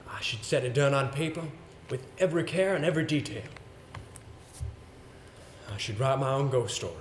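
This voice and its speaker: A man acting on stage as a solemn, haunted gentleman, giving a grave, measured and formal dramatic monologue. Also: mid-range voice, animated, natural voice. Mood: solemn, resolute. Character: solemn, haunted gentleman narrating his ghost story. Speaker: amateur stage actor. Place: indoor theater stage. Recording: roomy, noisy live stage recording.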